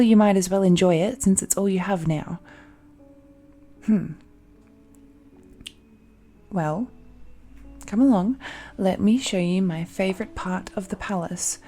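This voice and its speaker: A woman's voice: medium pitch, mellow. A woman playing a possessive, seductive ancient supernatural villainess in a romantic audio roleplay, speaking in a coaxing, condescending way with hums and sighs. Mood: smug, luxuriating.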